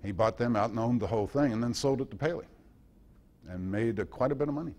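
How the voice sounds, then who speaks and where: A man giving an informal talk and answering questions in a halting, reflective storytelling manner. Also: mid-range voice, darkish tone. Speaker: guest speaker.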